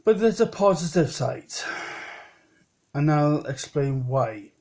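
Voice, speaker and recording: A man's voice: fairly high pitch, lively intonation, takes it slowly, darkish tone. A man in his late thirties talking in a rambling personal vlog, often repeating himself and restarting. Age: 30s. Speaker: vlogger.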